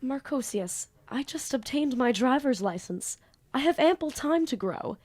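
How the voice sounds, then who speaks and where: A woman's voice: high voice, animated, a little slow, darkish tone. A woman playing a flippant, theatrical teenage student magician in an audio drama, with a wordy, casual manner. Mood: flippant, eager. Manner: casual teen banter, theatrical wordy narration. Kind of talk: audio drama acting and first-person narration.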